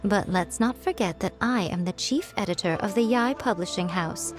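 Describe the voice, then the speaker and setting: A woman's voice: mid-range voice, moderate pace, soft background music, lightly acted. A woman playing a smug, scheming lady editor. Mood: sly. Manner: smug, teasing, composed and persuasive. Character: sly, confident adult woman editor, playful and scheming. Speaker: chief editor of a publishing house. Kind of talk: game voice acting.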